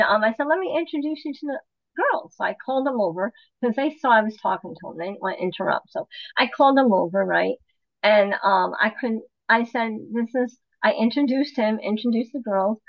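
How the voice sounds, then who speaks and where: A woman with a fairly high voice recounts a story in a relaxed chat. She slips into the voices of others and laughs easily. The sound is muffled and dry, as if from a basic home setup. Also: normal speed, no echo. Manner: animated, acts out quotes, laughs often. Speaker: guest, drummer in a women's drum group. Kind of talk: casual storytelling. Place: home with dogs nearby.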